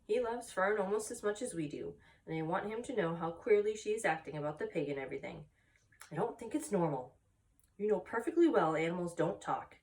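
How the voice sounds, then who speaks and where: A woman's voice: on the low side, animated, a little fast, darkish tone. Lady reading as a worried proper farm mom, kinda doubting, storybook, bit distant from the mic. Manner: concerned, questioning. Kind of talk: children's storybook read aloud.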